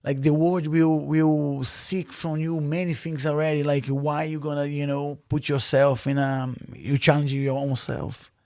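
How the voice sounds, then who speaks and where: A man's voice: fairly high pitch, little pitch movement, unhurried, hardly any pauses, a little mellow, no room sound, studio quality. A man from Brazil, a native Portuguese speaker with a Brazilian Portuguese accent, talking earnestly as a podcast guest with many fillers and restarts. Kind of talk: casual interview.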